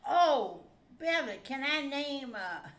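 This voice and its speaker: A woman's voice: high voice, big pitch swings, takes it slowly, echoey room. An older woman tells stories in casual, rambling conversation, trailing off mid-thought. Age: older, grandmother. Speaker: grandmother telling stories. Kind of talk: casual storytelling chat.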